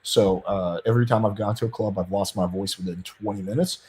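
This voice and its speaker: A man with a deep voice giving blunt, profane dating advice in a casual panel chat, reading out viewer questions. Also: steady tone, brisk, darkish tone.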